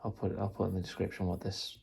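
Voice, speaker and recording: A man's voice: deep voice, completely flat delivery, quick pace, gravelly, darkish tone, slight background noise. A man explaining things casually and tentatively in an unscripted, rambling vlog. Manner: tentative, self-deprecating, rambling. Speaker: vlogger, hobbyist trying out radio gear.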